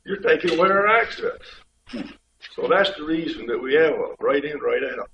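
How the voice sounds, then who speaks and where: A man with a head cold, a state highway official explaining things step by step to a public board meeting. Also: expressive, normal speed, creaky, a little hiss or hum. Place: community board meeting room. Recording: dull, echoey room pickup from far off.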